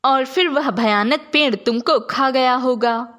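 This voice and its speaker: A woman voicing a nagging, sharp-tongued village wife who scolds in a cartoon moral story. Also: high voice, unhurried. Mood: mocking.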